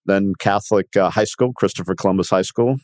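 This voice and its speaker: Low-ish voice guy in his 60s from miami, born in cuba, halting interview storytelling, dry sound. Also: a little mellow, no echo. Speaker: podcast guest, company founder.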